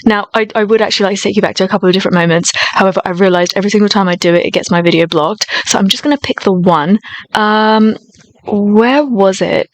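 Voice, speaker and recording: Woman with a high voice, mid-pitched for her sex, casual gushing reactor, dry close sound. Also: fast, no room sound. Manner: gushing, enthusiastic, rambling. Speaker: music video reactor.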